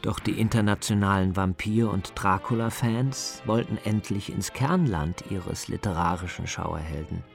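Low-pitched, gravelly male documentary narrator. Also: normal speed, few pauses, soft background music. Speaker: narrator, radio documentary author.

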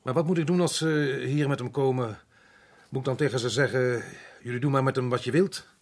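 An actor playing an earnest, troubled colonial official in a radio play, commanding yet full of doubt, with a husky voice. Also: male voice, medium pitch, steady tone, a little fast, close to an everyday voice.

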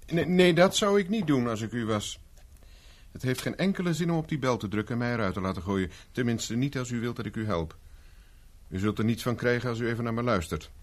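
An actor playing a persistent private investigator in a 1970s radio drama, speaking dry and insistent. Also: male voice, mid-range voice, lively intonation, brisk, close to an everyday voice. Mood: pressing. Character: dogged male private investigator, cool and persistent. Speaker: private detective Carver.

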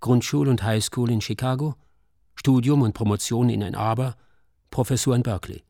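Male documentary narrator: mellow, mid-pitched, solemn literary reading, dry studio-like sound. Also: steady tone, normal speed, creaky, dry recording. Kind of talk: scripted documentary narration for radio.

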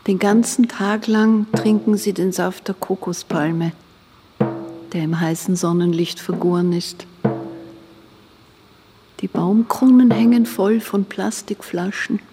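An actress voicing a solemn woman narrator of a doomed island in a literary radio play, with a measured delivery. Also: medium pitch, natural voice. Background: music.

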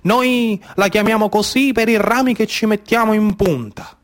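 A high-voiced man with a Sicilian accent performs a stage monologue in the manner of an oral storyteller. His delivery is rhythmic and incantatory, built on repetition and dramatic pauses. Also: fairly even intonation. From Sicily, Trapani area. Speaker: actor and storyteller.

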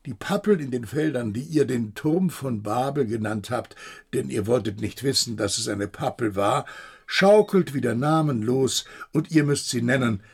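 An actor playing an agitated, inquisitive interrogator with emphatic, insistent questioning in a radio play. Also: male voice, medium pitch, expressive, moderate pace, gravelly, slightly rough. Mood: agitated, accusing. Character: agitated, accusing, inquisitive male interrogator, haughty and probing. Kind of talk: German radio play acting.

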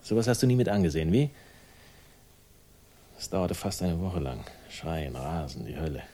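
A man's voice: low-pitched, moderate pace, mellow, a little hiss or hum. An actor playing a world-weary, sardonic man with a troubled past, speaking tersely in a radio crime drama. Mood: bitter, haunted. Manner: terse, fragmented, wry. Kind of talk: 1960s German radio crime drama acting.

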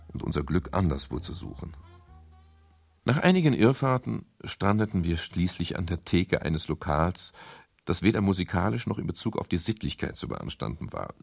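Radio noir private eye: deep, husky, dry and wry, world-weary. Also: male voice, a little mellow, full character voice. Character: world-weary male private detective narrating his case. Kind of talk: 1970s German radio crime drama, first-person narration and dialogue.

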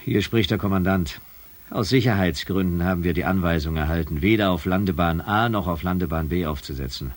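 An actor playing a stern space shuttle commander in a science fiction radio play, with an authoritative, dramatic manner and a rough edge to his voice. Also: sits low, a little fast. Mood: commanding.

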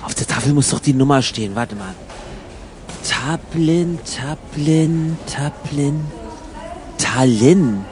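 Irritable male traveller, played by a man for radio drama: high-pitched, bossy, colloquial, with clear ambient noise behind. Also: lively intonation, slow. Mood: exasperated. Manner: exasperated, colloquial. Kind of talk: improvised-sounding German radio play dialogue.

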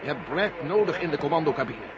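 A man's voice: a little high, lively intonation, fast, crowd noise, lightly acted. A male actor playing an earnest military general in a Dutch radio drama, reasoning with urgency. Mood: urgent, persuasive. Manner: urgent, persuasive. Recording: muffled old radio recording, loud noise.

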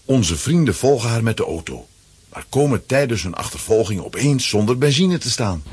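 A man's voice: medium pitch, sing-song, moderate pace, a little mellow, husky, no echo. A man narrating a scripted children's audio play as its storyteller. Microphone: close, dry studio microphone.

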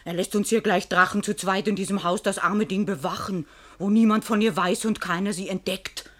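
An actress playing a frightened but outspoken maidservant in a radio verse comedy, melodramatic and exclamatory. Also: female voice, medium pitch, fairly even intonation, normal speed, fairly bright tone, lightly acted. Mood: shaken, indignant.

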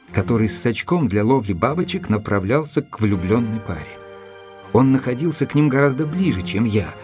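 An actor playing a composed gentleman who narrates a detective story as its loyal observer, in a measured storytelling manner. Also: medium pitch, close to an everyday voice. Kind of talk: dramatised audiobook narration.

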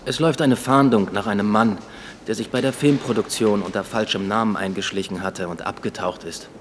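An actor playing an earnest young police inspector who gives quick, guarded answers in a radio drama. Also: male voice, lowish voice, in a large hall, a little hiss or hum, lightly acted.

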